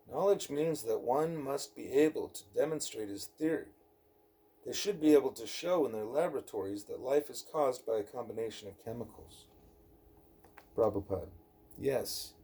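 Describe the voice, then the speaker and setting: A man reading a scripted spiritual dialogue as an audiobook, in a measured way with emphasis on rhetorical questions. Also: mid-range voice, dark tone, breathy edge. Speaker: audiobook reader.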